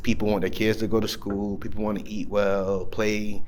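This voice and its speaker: A man in his thirties from Georgia, speaking reflectively about his life in an interview, pausing often with fillers. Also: on the low side, little pitch movement, darkish tone. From Savannah, Georgia, US. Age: 30s. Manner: reflective, with frequent hesitant fillers. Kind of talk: candid personal interview.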